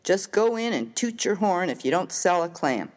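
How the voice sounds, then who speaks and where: A man playing a rough, hearty, good-natured American miner of the Old West in a dramatised story reading, with slangy, folksy, emphatic speech. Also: high voice, very animated, normal speed. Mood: earnest, boastful.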